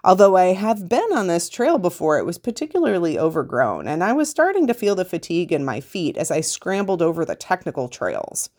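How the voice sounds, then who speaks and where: A woman's voice: lowish voice, wildly swooping pitch, a little fast, hardly any pauses, darkish tone. A woman reading a scripted first-person account aloud as a podcast host.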